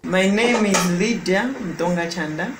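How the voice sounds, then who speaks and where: A young Zambian woman giving a halting personal testimony over a video call from her living room. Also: fairly low pitch. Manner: halting, searching for words. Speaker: prayer testimony giver. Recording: echoey room with background noise.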